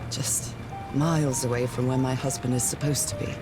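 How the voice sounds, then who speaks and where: A woman's voice: rumbling low, steady tone, normal speed, loud music behind the voice. An actress playing a determined 1930s wife searching for her lost husband in a game, wistful and reflective, as if talking to herself. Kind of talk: dramatic first-person game narration.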